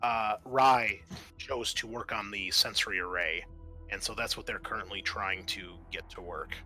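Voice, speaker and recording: A man's voice: lowish voice, moderate pace, sharp tone, faint music behind. A man acting as game master, explaining rules and situations conversationally in a tabletop roleplaying session.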